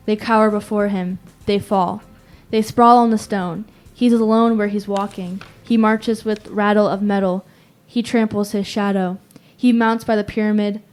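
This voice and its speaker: A woman's voice: medium pitch, a little mellow, close to an everyday voice. A teenage girl playing an urgent announcer in a verse radio play, declaiming in short phrases as she watches a city fall. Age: teens. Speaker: student actor. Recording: music behind the voice.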